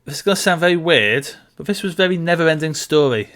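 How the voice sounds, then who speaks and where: A man's voice: on the high side, animated, fast talker, a bit bright. A man co-hosting a podcast, talking casually in a film discussion. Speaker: podcast co-host.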